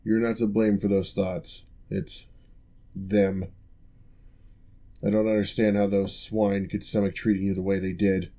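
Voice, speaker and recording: A composed male orc, once a general and now a physical therapist, as a man plays him in an ASMR roleplay. His voice sits a little low and mellow. He speaks in a measured, formal, reassuring way. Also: flat delivery, natural voice. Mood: indignant.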